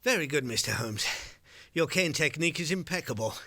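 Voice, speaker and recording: An actor playing a polite, admiring Victorian gentleman who teaches combat, in an audio drama. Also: on the high side, animated, fairly quick, heavily acted. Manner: polite, complimentary.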